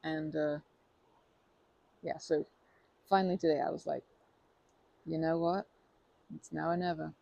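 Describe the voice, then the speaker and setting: A woman's voice: low voice, steady tone, measured pace, gravelly, long pauses. A woman in an ASMR roleplay who talks to the listener in a rambling, bashful and playful way, full of fillers and restarts. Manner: playful and earnest, laughing softly.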